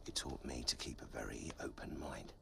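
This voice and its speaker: An actor playing a foul-mouthed soldier who tells a gruesome war story in a low, hushed voice with dark humour. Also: male voice, animated, moderate pace. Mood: grim. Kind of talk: film dialogue. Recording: film soundtrack with a music score behind.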